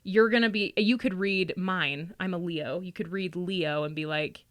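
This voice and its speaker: A woman's voice: fairly low pitch, moderate pace, a bit bright. A woman chatting casually and informally as a guest, explaining things in a loose, talkative way. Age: adult, parent. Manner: chatty, informal, frequent laughing asides. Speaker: guest, tarot and astrology reader.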